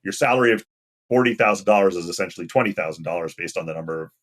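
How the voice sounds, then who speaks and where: A man with a deep voice talking casually and anecdotally as an interview guest. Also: very fast. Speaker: podcast guest, game industry UX professional.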